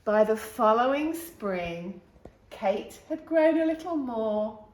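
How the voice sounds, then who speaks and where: Female picture-book reader, lively swooping intonation, chatty asides to kids, echoey room. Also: a little high, takes it slowly. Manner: animated, exclaiming, asks the listeners questions. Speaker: storytime reader. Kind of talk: expressive read-aloud of a children's picture book with asides to young listeners. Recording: roomy, echoing room sound.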